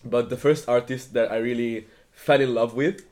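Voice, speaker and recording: Young man, from Milan, casual chat, self-mocking, laughs a lot, mid pitch. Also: steady tone, moderate pace, a little mellow. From Milan, Italy. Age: young adult.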